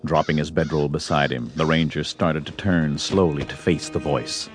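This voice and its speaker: A man's voice: a bass voice, steady tone, normal speed. A man narrating a Western story as a scripted audio drama. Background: music.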